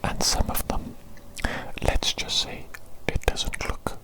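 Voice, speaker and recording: A performer voicing a pompous evil mad doctor in a whispered ASMR roleplay, deliberate and theatrical, repeating phrases. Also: pushed and bright, rough recording. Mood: disgusted. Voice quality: breathy unvoiced whisper. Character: pompous evil mad doctor tending his monstrous creation.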